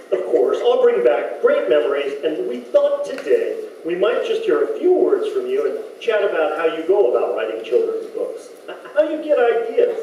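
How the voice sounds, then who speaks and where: Man as polite patient interviewer, hesitant patter, lively high voice, old-time radio comedy, far-off roomy recording. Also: dull audio. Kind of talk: old-time radio comedy sketch before a live audience. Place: community hall stage. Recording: echoey hall, distant mic, faint noise.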